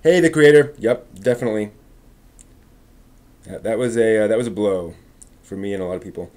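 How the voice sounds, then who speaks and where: A man presenting news as a live stream host in an informal way, with somewhat halting delivery. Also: on the low side, darkish tone.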